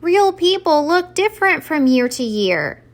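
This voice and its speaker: A woman's voice: high voice, big pitch swings, unhurried, voice pushed up. A woman voicing Santa's patient old wife in a children's storybook, coaxing and reasoning with him. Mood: firm, reasoning. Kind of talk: expressive children's storybook read-aloud.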